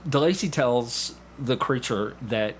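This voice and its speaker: Halting man in casual chat, faint buzz. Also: mid-range voice. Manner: halting, acts out quoted dialogue. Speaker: podcast guest, amateur actor. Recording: buzzing air conditioner noise behind the voice. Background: air conditioner hum.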